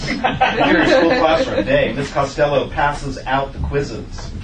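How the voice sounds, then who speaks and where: A man's voice: a little high, sing-song, a little slow. A man reading stage directions as scripted narration at a comedy table read. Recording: roomy, distant, clearly noisy recording.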